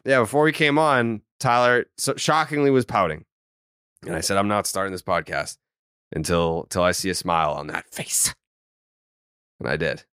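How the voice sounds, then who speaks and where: A young man hosting a sports podcast, loud and teasing in casual chat. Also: deep voice, moderate pace, clear, resonant tone, no room sound, studio quality. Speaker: podcast host.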